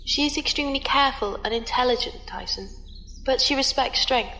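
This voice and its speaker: Composed, higher-pitched squire , played by an actress. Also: female voice, a little fast, a little hiss or hum, rough recording. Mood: calm, advising. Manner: calm, precise, formal. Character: composed, formal female squire and advisor to captain. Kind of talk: audio drama acting.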